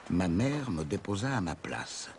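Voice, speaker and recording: A grown man wistfully recalling boyhood in a film voice-over. His low voice moves with lively melody, under heavy soundtrack noise. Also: moderate pace. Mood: nostalgic. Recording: noisy old film soundtrack clip.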